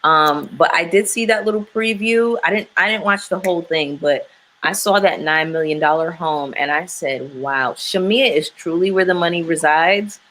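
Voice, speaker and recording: Woman, low voice, frank and opinionated, faint hiss behind. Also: moderate pace, a bit bright. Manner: opinionated, frank, with many fillers. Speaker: panelist. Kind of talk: casual chat about a reality show.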